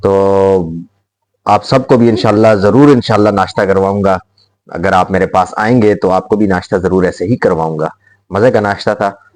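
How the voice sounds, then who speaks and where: A man's voice: low-pitched, normal speed, darkish tone. A man talking casually to his viewers at home as a family vlogger, chatty and teasing with his kids. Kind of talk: casual home vlog chat. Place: home, indoors.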